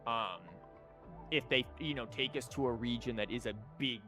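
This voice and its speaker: A man's voice: mid-range voice, moderate pace, pushed and bright, soft background music. A man giving casual livestream commentary on a game, rambling informally and thinking aloud with false starts. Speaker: video game streamer.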